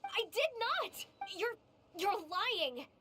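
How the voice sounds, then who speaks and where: A woman playing a cornered girl in a game cutscene, defiant and desperate. Her voice is high, slow and deliberate, with wide swings in pitch. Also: big pitch swings, noisy background.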